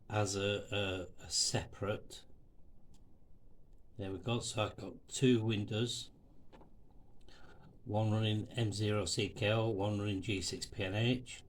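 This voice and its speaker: A man presenting an informal amateur radio tutorial in a halting, step-by-step way. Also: lowish voice.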